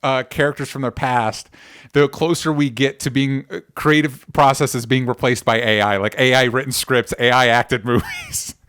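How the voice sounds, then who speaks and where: A man's voice: mid-range voice, steady tone, normal speed, fairly bright tone, smooth. A man co-hosting a podcast, talking casually and with strong opinions, often stopping and restarting his sentences. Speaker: podcast co-host.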